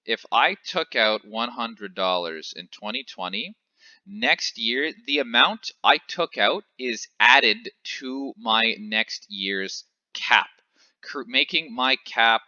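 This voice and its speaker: A man's voice: medium pitch, expressive, takes it slowly, bright, forceful tone, no room sound, muffled recording. A man in his twenties from Canada explaining investing in a casual, chatty way, with frequent fillers and self-corrections. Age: 20s. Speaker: finance educator.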